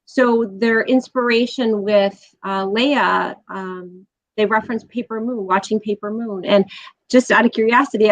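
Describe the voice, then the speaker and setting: A woman's voice: a little high, no room sound. A woman speaking as a guest expert in a casual film discussion, on slightly dull, lo-fi audio. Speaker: guest expert, college professor.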